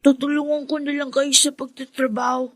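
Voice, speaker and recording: A woman's voice: sits high, flat delivery, moderate pace, no echo, natural voice. A woman acting an earnest, worried teenage son from a poor family in a radio drama. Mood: earnest pleading.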